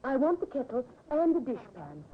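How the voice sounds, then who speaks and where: High-voiced genteel wife, old radio. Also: female voice, big pitch swings, normal speed. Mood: coolly commanding. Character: composed, genteel wife, coolly polite to servants and doctors. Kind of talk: 1950s radio drama acting. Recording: muffled, tinny old radio recording with hiss.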